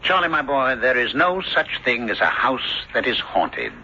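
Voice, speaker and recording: Casting: a rational, kindly small-town doctor for radio drama, hugely varied intonation, fussy reassurance, dull noisy vintage sound. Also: male voice, mid-range voice, very animated, bright, forceful tone, heavily acted. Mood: amused skepticism. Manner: fussy, reassuring, measured storytelling and dialogue. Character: kindly, rational country doctor, a man of science. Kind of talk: old-time radio drama acting. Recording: muffled, noisy old radio drama recording.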